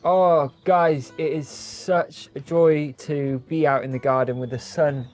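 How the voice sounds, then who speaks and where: A man talking earnestly and warmly about homestead life in a casual vlog, recorded outdoors in a garden. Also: fairly high pitch, steady tone, measured pace, soft background music. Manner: earnest, heartfelt, upbeat. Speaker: homesteading vlogger. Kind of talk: reflective vlog narration and casual chat.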